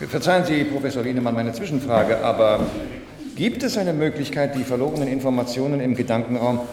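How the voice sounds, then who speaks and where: An actor playing a polite but insistent official who questions formally in a radio play. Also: male voice, mid-range voice, brisk, crowd noise, lightly acted. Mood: probing. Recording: echoey hall with long reverb.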